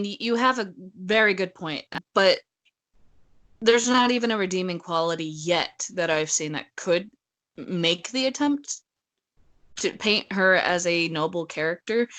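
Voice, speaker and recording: A woman's voice: on the low side, a bit bright. A woman co-hosting a casual book discussion, blunt and argumentative, often acting out quotes as she goes. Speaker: podcast co-host.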